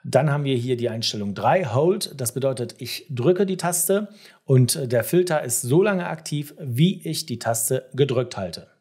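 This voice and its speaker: A middle-aged man presenting a step-by-step software tutorial, explaining things directly to the viewer. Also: mid-range voice, lively intonation, normal speed, breathy edge.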